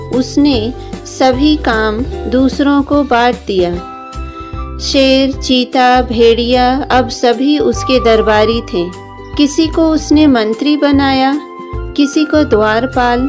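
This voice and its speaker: High-voiced, slow-paced woman storyteller. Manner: clear, deliberate storytelling. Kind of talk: scripted children's story narration. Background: background music.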